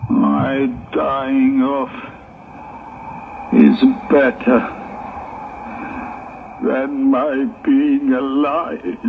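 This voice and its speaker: An actor playing an aggrieved, self-pitying Hebrew prophet in a 1960s religious audio drama, with theatrical, drawn-out sighs and moans. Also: male voice, medium pitch, vocal fry. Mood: anguished, fainting. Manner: theatrical, drawn-out, sighing and moaning monologue. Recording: tinny, noisy 1960s tape recording.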